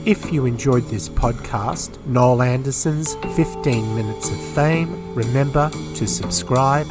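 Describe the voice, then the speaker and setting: A man's voice: mid-range voice, unhurried, over loud music. Conversational male podcast host.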